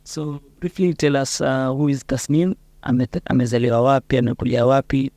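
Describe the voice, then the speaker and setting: A man's voice: mid-range voice, little pitch movement, a little fast, dark tone, dry recording. A man with a Kenyan accent asking casual questions as a podcast interviewer.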